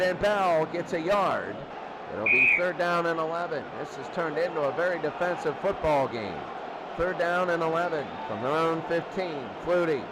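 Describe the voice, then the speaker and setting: Man, sports radio commentator, high voice, clipped phrases, noisy broadcast, crowd din. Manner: short, clipped phrases, repeats down and distance. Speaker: play-by-play football announcer. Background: stadium crowd noise.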